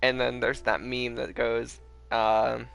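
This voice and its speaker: A man's voice: medium pitch, little pitch movement, slow, gravelly, a bit bright, smooth, soft background music. A man giving casual commentary as he streams a video game.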